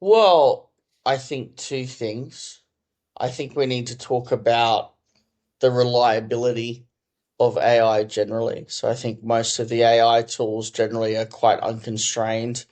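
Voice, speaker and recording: A man, a company co-founder and chief executive, answering interview questions in a measured, thoughtful way full of "I think.". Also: mid-range voice, darkish tone. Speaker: company co-founder and CEO, podcast guest.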